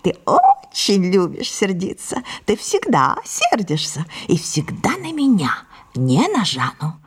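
An actress voicing a mischievous, sulky, tearful young girl in an audio drama, theatrical and breaking into breathy whispers. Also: mid-range voice, huge pitch swings, takes it slowly, heavily acted. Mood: mischievous, accusing. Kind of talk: audio drama stage acting.

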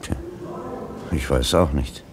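A man's voice: very deep voice, slow pace. A man playing a timid, placating bystander in a German radio play, speaking with cautious hesitation. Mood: fearful pleading. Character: timid, placating bystander relaying a public speech. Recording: noisy radio play, ambience behind.

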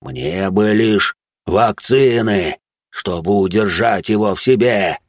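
A huge monstrous man acted by a narrator growls in a low voice. He broods and strains with impatience, groaning as if tormented, in dark audiobook style. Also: takes it slowly, no echo, clean studio sound. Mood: tormented rage. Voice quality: growling, wheezy.

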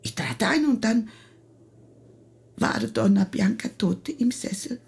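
An actress playing an agitated, pleading Italian wife in a German radio play, with an Italian accent and emotional delivery. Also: female voice, mid-range voice, very animated, takes it slowly, gravelly, a little mellow, full character voice. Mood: anxious. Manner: emotional, slips into Italian exclamations.

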